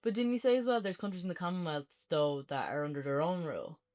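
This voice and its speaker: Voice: medium pitch, lively intonation, fast, a little mellow. An Irish reviewer chatting casually and unscripted, rambling along with plenty of "like" thrown in. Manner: rambling, heavy use of "like". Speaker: podcast reviewer.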